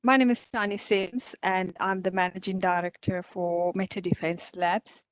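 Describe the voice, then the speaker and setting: A woman's voice: fairly low pitch, fairly even intonation, moderate pace, no room sound. Upbeat Sri Lankan woman on a video call. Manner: chatty and upbeat, many fillers and small laughs. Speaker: guest speaker, cybersecurity company director. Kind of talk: online conference talk. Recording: dull lo-fi video call audio.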